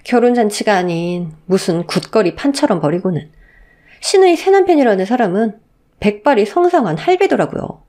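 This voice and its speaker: A woman's voice: mid-range voice, sing-song, fairly quick, dark tone. A woman narrating a listener's story in the first person, in an indignant storytelling manner. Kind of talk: scripted narration of a reader's story.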